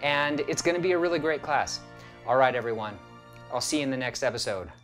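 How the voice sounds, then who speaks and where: A man's voice: medium pitch, expressive, a little fast, fairly bright tone, faint music behind. A man in his thirties, an acting professor, giving an upbeat, encouraging lecture to camera in a studio. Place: studio stage set.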